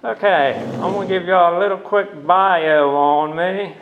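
Loud, gravelly man telling his story. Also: high-pitched, fairly even intonation, measured pace, slight background noise. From Norfolk, USA. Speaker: guest speaker at a recovery meeting. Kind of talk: personal testimony to a group. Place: meeting room. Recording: echoey, dull meeting-room recording.

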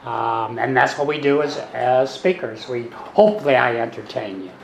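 Gravelly, soft-spoken older man. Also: medium pitch, normal speed. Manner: soft-spoken, self-deprecating, meandering with restarts. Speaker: guest speaker at a recovery meeting. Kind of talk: personal talk to a meeting. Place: meeting hall. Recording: echoey hall, some background noise.

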